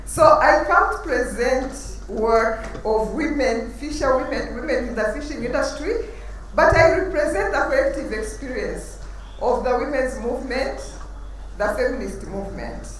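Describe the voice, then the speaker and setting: A woman giving a lively, storytelling conference talk with emphatic asides. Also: fairly high pitch, a little hiss or hum. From Uganda. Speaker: conference panelist. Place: large conference hall. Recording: roomy hall, speaker far from mic.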